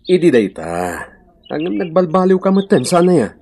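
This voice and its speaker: An actor playing an excitable, apologetic village father in a radio comedy-drama, speaking expressively with an Ilocano accent. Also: fairly high pitch, moderate pace, vocal fry, slight background noise. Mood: friendly. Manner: exclamatory, expressive.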